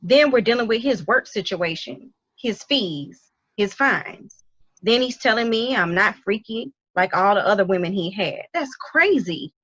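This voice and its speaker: A woman's voice: medium pitch, expressive, unhurried, fairly bright tone, breathy edge, no echo. A woman acting a hurt young wife, muffled sound. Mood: exasperated. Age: young adult. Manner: emotional, complaining. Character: hurt, exasperated young wife longing for romance. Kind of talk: dramatic table read.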